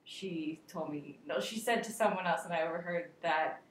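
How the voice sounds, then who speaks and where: A woman with a slightly low voice speaks casually and wryly. Her recording is echoey, with a faint rumble of noise behind her. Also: normal speed. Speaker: podcast host. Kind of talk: casual chat.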